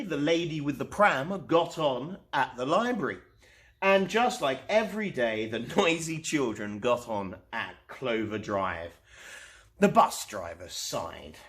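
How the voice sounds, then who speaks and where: A man reading a children's storybook aloud as host, expressively and with dramatic pauses. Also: mid-range voice. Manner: animated, unhurried, dramatic pauses.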